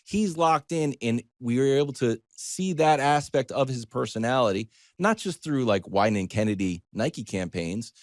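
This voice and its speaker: An American man giving conversational sports talk as a commentator, animated, digressive and self-deprecating as he tells stories. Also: medium pitch, normal speed, hardly any pauses, no room sound, studio quality.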